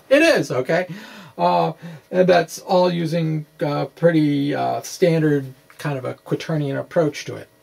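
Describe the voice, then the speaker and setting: A middle-aged man giving an informal, halting explanation to camera in an offhand, quirky manner. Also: on the high side, vocal fry, a little mellow. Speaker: physics blogger presenting puzzle answers. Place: small indoor room with bookshelves. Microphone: microphone on a stand in front of him.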